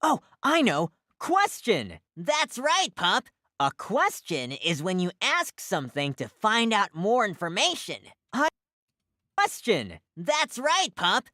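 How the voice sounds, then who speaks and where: A woman's voice: mid-range voice, huge pitch swings, takes it slowly, sharp tone, no room sound, studio quality, full character voice. A woman voicing cheerful, inquisitive puppy characters in an educational preschool cartoon, with an exaggerated sing-song teaching delivery. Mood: cheerful, explaining. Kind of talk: scripted children's educational cartoon dialogue.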